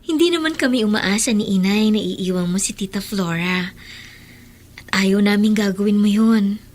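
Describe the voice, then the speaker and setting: An actress in a Filipino radio drama playing a kind, forgiving schoolgirl older sister, gentle and reassuring. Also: mid-range voice, normal speed, lightly acted.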